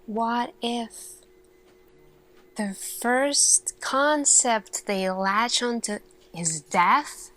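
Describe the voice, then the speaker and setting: Lady doing asmr scifi roleplay as a thoughtful scientist, really really slow, crisp bright voice, lots of pauses. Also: a little high, poor audio. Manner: slow, deliberate, pausing, questioning delivery. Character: earnest, thoughtful female lead scientist addressing her team.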